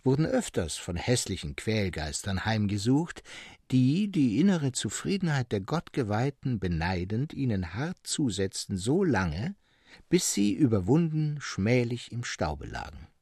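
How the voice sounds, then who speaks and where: Man voicing a calm, solemn mad hermit, husky. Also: mid-range voice, no echo, clean studio sound, exaggerated voice. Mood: grave, sardonic. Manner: slow, calm, solemn, self-assured. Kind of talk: literary story reading.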